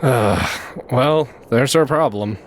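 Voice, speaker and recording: A man's voice: medium pitch, slow, a little hiss or hum, natural voice. A man playing a wary, casual thief in a fantasy audio drama, speaking in terse lines. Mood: impatient.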